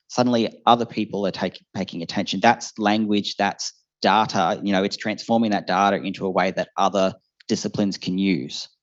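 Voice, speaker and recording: A young man in his twenties answering on a discussion panel, thinking aloud with fillers and restarts. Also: lowish voice. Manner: thoughtful, many fillers and restarts. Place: seminar hall before an audience. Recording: muffled lo-fi event recording.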